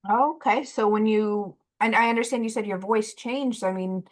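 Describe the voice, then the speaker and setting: A woman with a mid-pitched voice hosts a relaxed interview. She asks curious follow-up questions in a supportive, encouraging way. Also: normal speed. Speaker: podcast host. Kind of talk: casual interview chat.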